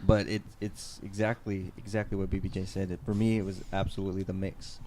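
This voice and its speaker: Relaxed low-voiced man on a panel. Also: fairly quick, a little hiss or hum. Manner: relaxed, agreeable, chatty. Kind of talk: casual music critique.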